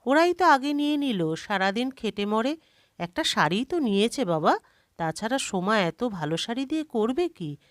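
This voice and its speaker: A woman's voice: a little high, sing-song, normal speed, no room sound, clean studio sound. A woman storyteller voicing a stern, belittling elderly mother-in-law with a blunt, scolding manner. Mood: dismissive.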